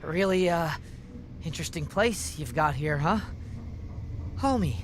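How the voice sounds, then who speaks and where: A man's voice: fairly high pitch, animated, measured pace, background noise. A man playing a nervous, ingratiating backstage staffer in a video game, hesitant and awkward, with music behind him.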